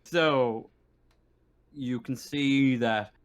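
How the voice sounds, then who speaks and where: A man running a tabletop roleplaying game as game master, narrating haltingly as he searches for his words. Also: medium pitch, clean tone.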